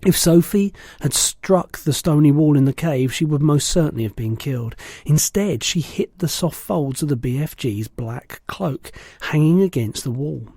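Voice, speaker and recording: Guy reading a kids book out loud, expressive, bit raspy with fry, no room echo. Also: a little high, normal speed, few pauses, studio quality. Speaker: storybook reader. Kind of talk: expressive children's story narration.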